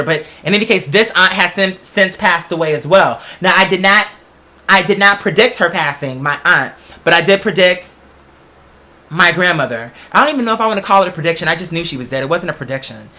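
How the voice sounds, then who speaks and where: A man's voice: sits high, bright, forceful tone. A man hosting a casual talk show, telling personal stories in a chatty, emphatic way with self-questioning asides. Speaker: podcast host. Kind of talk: casual personal storytelling monologue.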